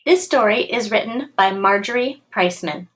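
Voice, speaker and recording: A woman reading a picture book aloud to schoolchildren in an expressive, clearly paced storytelling way. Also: mid-range voice, normal speed, rough recording.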